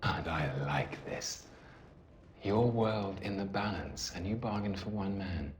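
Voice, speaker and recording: A man's voice: deep voice, slow. A man acting a sly, haughty, taunting villain in a game cutscene. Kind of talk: animated game cutscene dialogue. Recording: echoey, faint noise.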